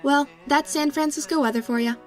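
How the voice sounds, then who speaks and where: A woman's voice: sits high, steady tone, a little fast, a little mellow, soft background music, natural voice. A woman playing a chatty, friendly teenage barista in an audio crime drama. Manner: casual, teenage. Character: chatty, friendly seventeen-year-old high school girl barista.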